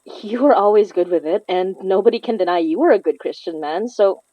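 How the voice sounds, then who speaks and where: An actress plays a young Filipino woman with a conflicted, grieving heart, confiding haltingly as if leaving a private message. Her Filipino-accented voice sits at a medium pitch. The sound is very dry and slightly dull, like an intimate audio drama monologue. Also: no room sound, natural voice. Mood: sad, longing. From Philippines. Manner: halting, sighing, confessional.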